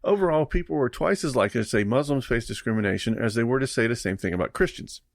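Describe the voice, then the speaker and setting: A man's voice: medium pitch, expressive, quick pace, no room sound, clean studio sound. A man co-hosting a casual podcast, ranting bluntly and reading out poll figures. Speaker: podcast co-host. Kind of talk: casual chat.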